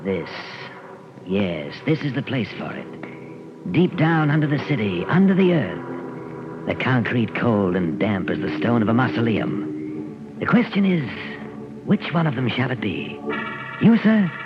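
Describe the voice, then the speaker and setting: An actor playing an intense, obsessive sculptor who styles himself Death, in theatrical 1940s radio style. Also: male voice, medium pitch, sing-song, unhurried, pauses often, music in the background. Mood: sinister, menacing. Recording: muffled, noisy old radio recording.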